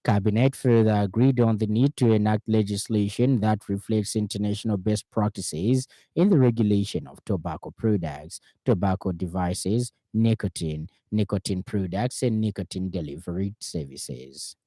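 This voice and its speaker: A man with a Zambian accent reading a formal radio news bulletin in a measured, emphatic way. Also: lowish voice, warm tone, no echo. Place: radio studio. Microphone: close studio microphone.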